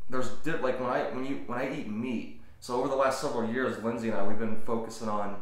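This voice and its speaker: A man's voice: mid-range voice, fairly even intonation, quick pace. Rambling man in a very echoey room. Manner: rambling, self-correcting, loose. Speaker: podcast co-host. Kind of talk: casual chat.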